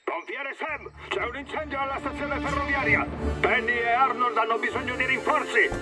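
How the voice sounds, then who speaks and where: Very high, officious cartoon fire chief. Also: male voice, fairly even intonation, normal speed, loud music behind the voice. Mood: urgent alarm. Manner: urgent, emphatic, official. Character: urgent, officious male fire station chief. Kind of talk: animated children's cartoon dub.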